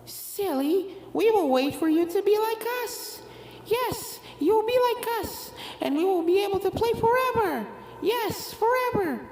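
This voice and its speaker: A woman's voice: extremely high pitch, animated, unhurried, a little mellow, a little hiss or hum, voice pushed up. A woman voicing a pair of playful little ghost colts, five-year-old boys who echo each other in a childlike sing-song for a story reading. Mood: creepy glee. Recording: music behind the voice.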